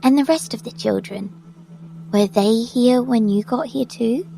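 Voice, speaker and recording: An actress playing a resolute, composed rescuer in an audio drama, measured and creaky-voiced. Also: female voice, a little high, mellow, a little hiss or hum, rough recording. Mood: calm, probing. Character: resolute, composed female rescuer questioning a child gently.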